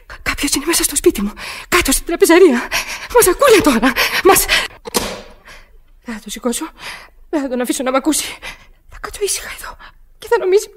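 A woman's voice: high-pitched, sing-song, a little hiss or hum. An actress playing a frantic, breathless sick woman alone at home, pleading hysterically in a radio drama. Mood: frightened.

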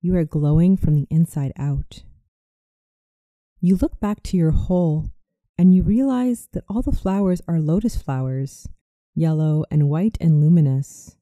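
A narrator guiding a scripted visualization meditation in a soothing, measured way. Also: mid-range voice, expressive, warm tone, dry recording. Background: music.

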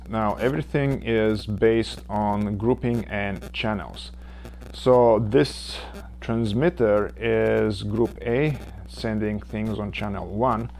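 A man in his forties, a native Bulgarian speaker, demonstrating wireless mic gear in his workshop, explaining step by step with frequent pauses. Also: lowish voice, darkish tone, slight background noise. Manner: slow, methodical explaining with frequent uhs. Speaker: woodshop channel host. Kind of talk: unboxing and gear demo. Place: cluttered home workshop.

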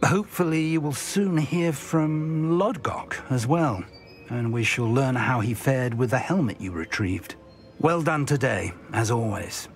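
A man voicing an older professor speaks at a mid pitch, encouraging and approving. Faint game music plays behind. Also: measured pace, close to an everyday voice. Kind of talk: video game dialogue.

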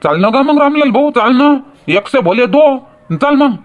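A man's voice: very high voice, expressive, normal speed, narrow, tinny audio. A man voicing a folksy, talkative village man in a comedy cartoon, bantering colloquially with a Varhadi Marathi accent. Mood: chatty. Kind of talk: homemade comedy cartoon dialogue.

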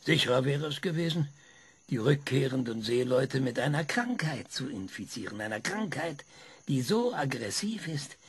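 An actor playing a sardonic former researcher in a radio play, lecturing with impatient insistence. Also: male voice, mid-range voice, expressive, moderate pace, breathy edge. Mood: insistent. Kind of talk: German radio play acting.

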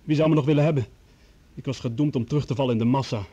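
An actor playing a desperate, self-pitying young failed student in an old radio drama, protesting in an agitated, husky voice. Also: male voice, medium pitch, rapid-fire, dark tone, lo-fi sound, lightly acted. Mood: bitter despair. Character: desperate, defensive young failed student, dismissed lab assistant.

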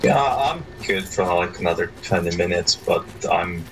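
A very low male voice, worn out from a long day, chats loosely with a friend during a co-op game. He answers in short, relaxed bits, and a faint hiss runs under the voice chat. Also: moderate pace. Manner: laid-back, tired, short replies. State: tired. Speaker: co-op gaming partner. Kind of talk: casual voice chat while gaming.

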